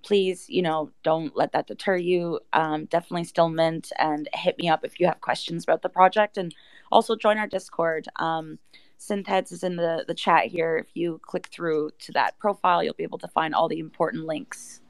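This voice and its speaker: Low-pitched creaky woman, casual promo talk. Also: steady tone, moderate pace, dry recording. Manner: conversational, peppered with "you know" and "like". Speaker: project team member and guest speaker. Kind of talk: casual promotional talk in a live audio chat.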